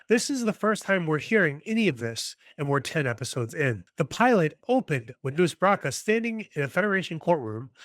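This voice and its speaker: A man's voice: a little high, a bit bright, no room sound, clean studio sound. A man delivering a scripted video essay review in a wry, analytical and articulate way. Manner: wry, self-deprecating humor.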